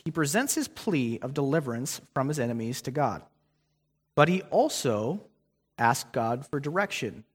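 A young male guest preacher talks through his sermon in a relaxed, conversational way, pausing on fillers and restarting sentences. His voice sits at an ordinary middle pitch. A long reverb trails each phrase from the church hall. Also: slightly rough. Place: church sanctuary.

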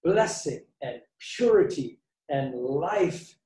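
A Canadian man delivers a sermon slowly, with dramatic pauses and repeated phrases for emphasis. His soft, mellow voice is picked up from a distance in an echoey room. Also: on the high side, animated. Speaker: preacher.

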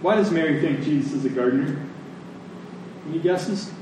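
A man preaching a sermon to a congregation, phrasing deliberately and emphatically and repeating lines for effect. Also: fairly high pitch. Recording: echoey room, distant mic, faint noise.

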